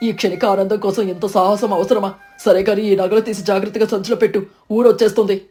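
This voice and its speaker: Woman voicing a fast-talking, worried village husband. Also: medium pitch, little pitch movement, mellow, faint music behind, natural voice. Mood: worried, reproachful. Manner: anxious, reproachful. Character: worried, honest village husband scolding his boastful wife.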